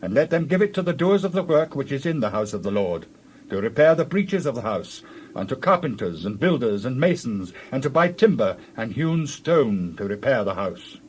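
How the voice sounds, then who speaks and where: A man's voice: mid-range voice, very animated, moderate pace, darkish tone, slight background noise, lightly acted. An actor playing an earnest king of Judah, giving commands in a declamatory manner in dramatized scripture. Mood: commanding.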